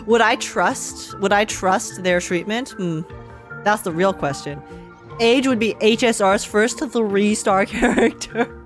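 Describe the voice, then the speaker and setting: A young woman giving a casual, jokey, slangy live game reaction, animated, with some music behind her. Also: medium pitch, takes it slowly. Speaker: gaming streamer.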